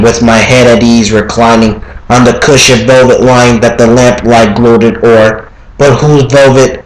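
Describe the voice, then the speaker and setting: Slow, echoey man reciting Gothic verse. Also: mid-range voice. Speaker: amateur poetry reader. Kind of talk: dramatic recitation of a Gothic poem.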